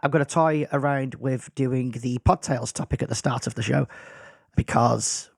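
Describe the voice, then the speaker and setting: A man's voice: mid-range voice, steady tone, normal speed, vocal fry, no echo, clean studio sound. A man who is losing his voice, hosting a casual solo podcast in a self-deprecating, rambling way. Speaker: podcast host. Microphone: podcast microphone.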